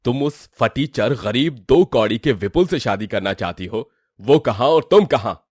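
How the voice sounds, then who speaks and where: A man's voice: mid-range voice, animated, moderate pace, darkish tone, breathy edge, no echo, clean studio sound. A man playing a scornful, indignant middle-aged rich businessman father in a cartoon moral story. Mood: outraged, scornful. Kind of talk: animated moral-story dramatisation.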